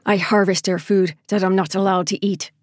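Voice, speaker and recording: Female narrator doing an angry young laborer, low growl, clipped contempt, audiobook character, dry studio. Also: fairly even intonation, moderate pace, dry recording, close to an everyday voice. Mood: bitter. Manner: low, rumbling, growling with anger. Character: hostile, bitter young male ward laborer.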